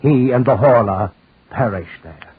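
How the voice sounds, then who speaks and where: A man narrating a 1940s radio drama in a solemn, ominous manner with deliberate pauses. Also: medium pitch, husky, dry recording. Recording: tinny, narrow-band 1940s radio recording.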